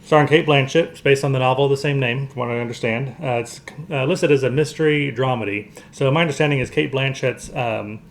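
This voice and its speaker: A man co-hosting a show, casually discussing movies in a halting, hedging way as he explains things. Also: mid-range voice. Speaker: podcast co-host.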